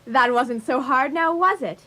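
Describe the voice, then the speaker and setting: Woman acting a confident, high-voiced dojo owner. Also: measured pace, bright, forceful tone, heavily acted. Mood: pleased, forward. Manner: patient, probing, forthright. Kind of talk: radio theatre comedy acting.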